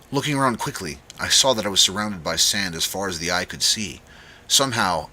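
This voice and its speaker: A male narrator reads a scripted first-person story dramatically. His voice is low and very bright and forceful, with a lively, swinging intonation. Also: slightly rough. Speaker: audiobook narrator.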